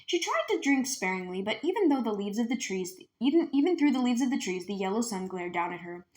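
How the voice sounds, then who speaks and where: A woman's voice: fairly high pitch, big pitch swings, fast talker, darkish tone. Woman reading a children's novel aloud. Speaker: read-aloud storyteller. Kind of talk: scripted children's book narration.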